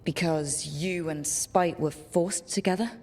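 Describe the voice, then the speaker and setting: Low-voiced, supportive adventurer leader. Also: female voice, steady tone, takes it slowly, faint music behind, heavily acted. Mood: gently probing. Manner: reassuring, gently probing. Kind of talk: video game cutscene acting.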